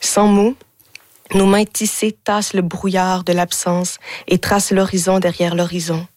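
Measured woman reciting poetry. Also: on the low side, flat delivery, a little mellow, no echo. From Haiti. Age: 20s. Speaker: guest poet. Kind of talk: spoken-word poetry recital. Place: radio studio.

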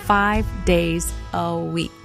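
A woman narrating a radio ministry retrospective in a measured, scripted way. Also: lowish voice, fairly even intonation, vocal fry. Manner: measured, announcing. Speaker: program narrator. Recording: music bed underneath.

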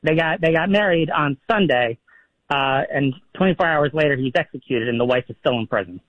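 A man's voice: fairly high pitch, brisk, no echo. A man from New York calling a radio show, hesitant and stammering. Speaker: caller. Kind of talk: phone-in call. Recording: muffled phone line.

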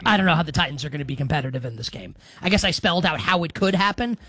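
High-voiced joking male sports co-host. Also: lively intonation, rapid-fire, a bit bright, breathy edge, dry recording. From Greek heritage. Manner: jokey, self-deprecating, calls plays live. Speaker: sports betting show co-host. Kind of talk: casual sports betting chat with play-by-play.

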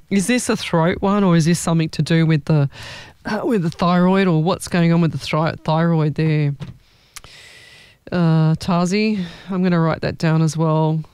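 A man, a psychic medium hosting a live stream, chatting in a rambling, excitable way. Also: a little high, a little slow, clean tone, no room sound. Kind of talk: live-stream reading chat.

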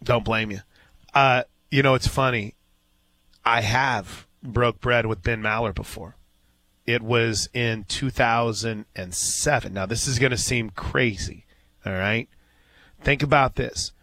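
A man's voice: mid-range voice, steady tone, a little slow, bright, forceful tone, no echo. A man hosting a live sports radio show, telling stories in an easygoing, folksy way. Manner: easygoing storyteller, folksy asides.

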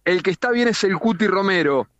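A high-pitched Argentine man gives a live sports report. The remote link is dull and lo-fi, with a roomy sound around his correspondent's delivery. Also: expressive, fairly quick, a bit bright. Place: remote live report from outside a team base.

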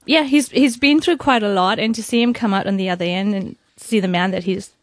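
A woman's voice: mid-range voice, fairly even intonation, brisk, no echo, studio quality. A middle-aged woman speaking conversationally as a guest on a radio show, a mother sharing personal stories. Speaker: caller and studio guest, a mother.

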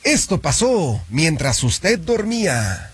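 A man's voice: fairly high pitch, sing-song, takes it slowly, a bit bright, breathy edge, dry recording. A man on the studio crew joking with the hosts from off the main mic, in laid-back banter.

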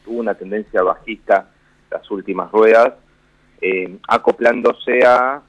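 A man's voice: fairly low pitch, fairly even intonation, a little slow, dry recording, poor audio. A man from Buenos Aires with a Rioplatense accent and a slight northern lilt, giving finance commentary on the radio, rambling and restarting often. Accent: Rioplatense Spanish with a slight northern Argentine lilt. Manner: rambling, with frequent restarts and fillers.

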